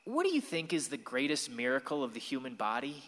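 A man's voice: mid-range voice, wildly swooping pitch, brisk, a bit bright. A man playing a wistful, awkward young man who confides his thoughts in a radio play. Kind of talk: radio play monologue and dialogue. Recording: reverberant hall sound.

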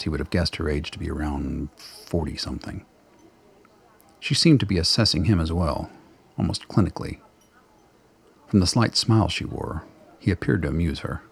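Deep, gravelly male narrator. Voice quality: gravelly, husky. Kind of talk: dramatic scripted storytelling.

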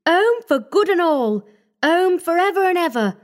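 Squeaky high little girl all excited and begging, a guy putting it on, storybook reading. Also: normal speed. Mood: gleeful. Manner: excited, breathless pleading. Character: eager, joyful little girl, tiny and sweet.

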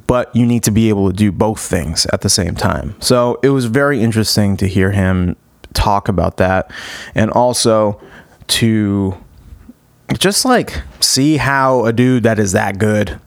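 A man's voice: lowish voice, a little slow, creaky, dry recording, clean studio sound. A man in his thirties hosting a podcast, talking casually and enthusiastically with lots of fillers and restarts. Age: 30s. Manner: rambling, filler-heavy, enthusiastic. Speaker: podcast host. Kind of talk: casual podcast intro and chat.

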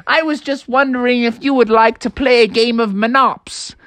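A woman's voice: fairly high pitch, steady tone, normal speed, fairly bright tone, dry recording, voice pushed up, lightly acted. A woman voicing a friendly, jolly father wolf in a children's story, with folksy, stretched-out words. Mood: jovial, reassuring.

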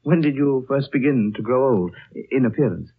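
Composed wistful gentleman, husky, soft-spoken, old tinny radio drama sound. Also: medium pitch, lively intonation, fairly quick. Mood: curious. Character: thoughtful, composed gentleman traveler, quietly wistful. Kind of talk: 1930s radio drama acting. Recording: thin, narrow old 1930s radio recording.

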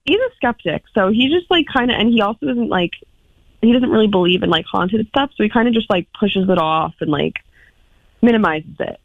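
Female phone-in guest, mid pitch, gravelly fry, hesitant casual talk on a lo-fi call. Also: expressive, a little fast, no room sound. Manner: hesitant, filler-heavy with "like". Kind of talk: casual chat. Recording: dull lo-fi phone call, dry.

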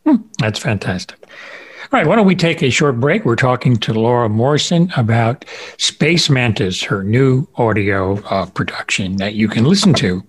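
A man's voice: medium pitch, lively intonation, normal speed, husky. A man hosting a podcast, interviewing a guest in a casual, conversational style.

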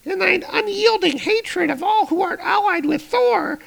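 A man voicing an anxious, deferential royal advisor in a comic-book story, in a squeaky falsetto. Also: a little slow, creaky, a bit bright, exaggerated voice, rough recording. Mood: anxious pleading.

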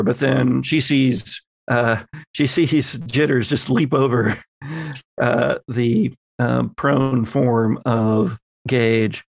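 A man's voice: medium pitch, gravelly, no room sound. A man acting as game master, narrating a horror tabletop roleplaying game in a conversational, improvised way. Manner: conversational, improvising scene descriptions.

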